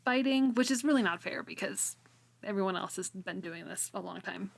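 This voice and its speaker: A woman's voice: mid-range voice, normal speed, gravelly. Female podcast co-host, informal book recap, mid-range voice, conversational and a touch incredulous. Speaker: co-host of a book read-along show. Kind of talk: casual chat, recapping a fantasy novel.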